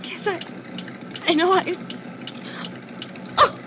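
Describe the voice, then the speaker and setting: An actress playing a frightened young woman in agonizing pain, halting and pleading in a 1950s radio drama. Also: extremely high pitch. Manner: halting, anguished. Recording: vintage radio recording with background noise.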